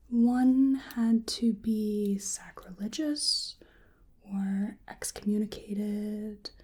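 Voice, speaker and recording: A woman with a soft, breathy, often whispery voice doing ASMR roleplay as a bewildered, polite researcher, speaking hesitantly to the listener. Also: on the high side, slight background noise. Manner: hesitant, murmuring to an unseen listener. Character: bewildered, polite female researcher examining a creature.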